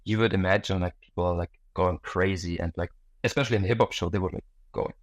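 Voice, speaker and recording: Low-voiced man chatting, dry close sound. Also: steady tone, fast, no echo. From Germany. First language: German. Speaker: interview guest. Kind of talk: casual interview chat.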